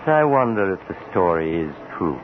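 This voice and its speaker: A mysterious traveler hosting an old radio suspense play. The voice is very low, genial yet sinister, and slyly conversational. The sound is tinny and clearly noisy. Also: male voice, sing-song, moderate pace. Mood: slyly eerie. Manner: insinuating, conversational. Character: sinister, genial male mysterious traveler telling eerie tales. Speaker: story host. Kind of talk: 1940s radio acting.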